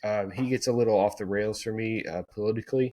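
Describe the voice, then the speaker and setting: A man's voice: on the low side, fairly even intonation, fast talker, warm tone. A man co-hosting a casual podcast chat, rambling with lots of fillers and restarts. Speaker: podcast co-host.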